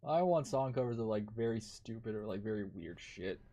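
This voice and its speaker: A man's voice: on the low side, animated, brisk, warm tone. A man chatting casually while gaming on a stream, rambling, swearing a lot and laughing often.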